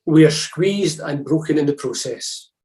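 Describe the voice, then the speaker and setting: Man, church reader, earnest, calm, mellow tone, echoey room. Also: fairly high pitch, unhurried. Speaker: devotional reader. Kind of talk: scripted church reflection reading. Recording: roomy echo.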